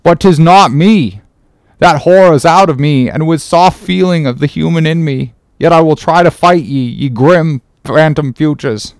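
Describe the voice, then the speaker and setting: A troubled ship's mate pleads for strength, as a male reader voices him. His voice rides high and smooth. The sound is clear and dry. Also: a little slow, dry recording. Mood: anguished. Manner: pleading, anguished.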